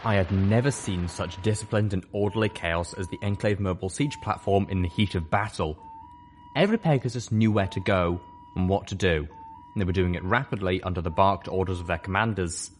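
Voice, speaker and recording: A man with a very low voice narrates a story in a steady, measured way. The reading is even and unhurried. Music plays clearly beneath the narration. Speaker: audiobook reader. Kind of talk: first-person fiction narration. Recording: music playing underneath.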